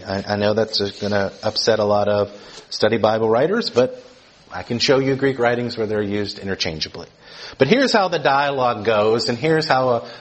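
A middle-aged man from Georgia gives a teaching sermon. His delivery is conversational. He keeps tagging his points with quick questions to his listeners. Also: medium pitch, poor audio. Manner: conversational, keeps asking "right?" to the room. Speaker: preacher.

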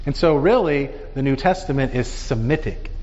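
A man teaching a Bible class, talking in an animated way and dotting his points with rhetorical questions. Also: mid-range voice, normal speed. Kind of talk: lecture with audience questions. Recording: dull sound with long reverb in a hall.

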